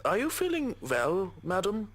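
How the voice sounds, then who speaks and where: A man's voice: high voice, huge pitch swings, unhurried, fairly bright tone, full character voice. Formal, deferential male butler. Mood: puzzled concern. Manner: formal, courteous. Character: stoic, deferential head butler. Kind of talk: visual novel voice acting.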